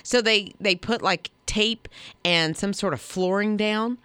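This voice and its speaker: A woman co-hosting a morning radio show, chatting and reacting in an expressive way. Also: mid-range voice, a little slow, clean tone, dry recording. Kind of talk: casual radio banter.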